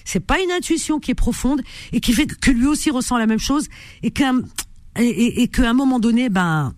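High-voiced lady hosting call-in radio, chatty, repeats herself, dry studio sound. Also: lively intonation, normal speed, dry recording. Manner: chatty, repeats words, many restarts. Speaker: radio host.

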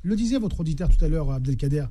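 A man running for office, speaking on a radio panel, halting and repeating himself. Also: on the high side, animated, mellow, slight background noise. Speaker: election candidate on a panel. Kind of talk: radio studio debate.

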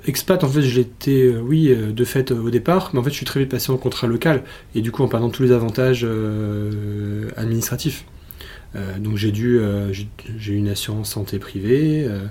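A man's voice: medium pitch, fairly even intonation, normal speed, dark tone. A man guest explaining his experience in a hesitant way with many fillers. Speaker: interview guest. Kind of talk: casual testimony.

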